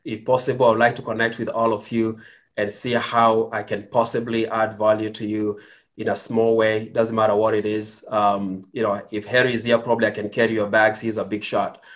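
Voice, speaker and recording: A man's voice: on the low side, level tone, normal speed. A man from Kenya with a Kenyan accent, a guest entrepreneur telling his story earnestly, with many fillers and restarts. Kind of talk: conversational storytelling.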